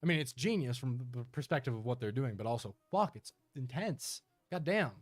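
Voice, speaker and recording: A man's voice: medium pitch, animated, brisk, no echo. Male streamer, casual reaction commentary, sarcastic and joking, dry close mic. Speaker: streamer reacting to horror videos.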